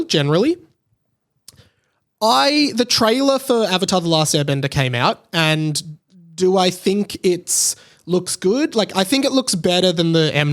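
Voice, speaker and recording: Young guy doing a solo podcast, voice a bit on the high side, chatty and rambly, opinionated. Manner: rambling, conversational, emphatic on opinions. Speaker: podcast host. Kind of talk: casual opinionated solo commentary.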